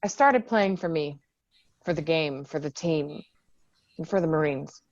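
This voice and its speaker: A woman's voice: low voice, a little slow, no echo, muffled recording. A woman reading the part of a tough, guarded young female Marine lieutenant in a screenplay table read, with blunt, sardonic delivery. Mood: guarded, reflective. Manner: blunt, swearing, defiant.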